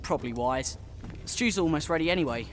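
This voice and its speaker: An actor playing an easygoing young member of a thief gang in a video game, casual and practical. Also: male voice, fairly high pitch, animated, fairly quick, slight background noise, close to an everyday voice.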